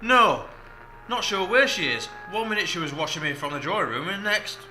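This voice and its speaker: A man's voice: high-pitched, expressive, moderate pace, pushed and bright, exaggerated voice. A man playing a jaunty, flirtatious chauffeur in a 1940s comic radio play. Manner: jaunty, cheeky. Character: cheeky, flirtatious chauffeur in wartime radio comedy. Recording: crackly noisy old radio broadcast.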